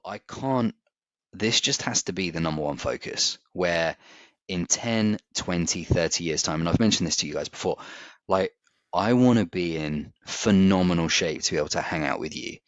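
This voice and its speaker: A man's voice: low voice, moderate pace, dry recording. A man, talking candidly and reflectively as a podcast co-host, laughing easily. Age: 40s. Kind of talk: casual chat. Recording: dry, slightly dull audio.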